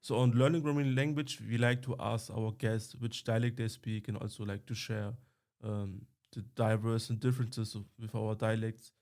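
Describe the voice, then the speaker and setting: A man hosting a casual interview, asking questions in simple, halting English. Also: fairly low pitch, steady tone, darkish tone, soft background music. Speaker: podcast host, interviewer.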